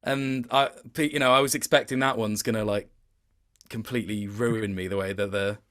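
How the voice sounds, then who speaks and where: A man's voice: on the low side, steady tone, normal speed, a bit bright. Man chatting casually, thoughtful and hesitant. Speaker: interview guest, video game voice actor. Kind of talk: casual chat.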